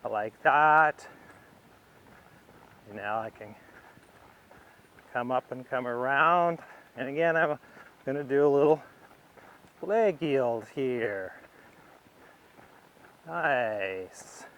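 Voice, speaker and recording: Slow-paced, clear-voiced man teaching horse riding. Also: mid-range voice, expressive, fairly bright tone, rough recording. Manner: unhurried, thinking aloud in short phrases. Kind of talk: instructional commentary on a riding demonstration.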